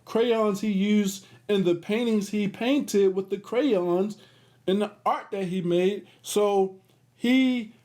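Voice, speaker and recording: Slow, high-pitched man reading aloud. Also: a little mellow. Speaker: host of a children's read-aloud series. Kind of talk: expressive picture-book read-aloud with casual asides.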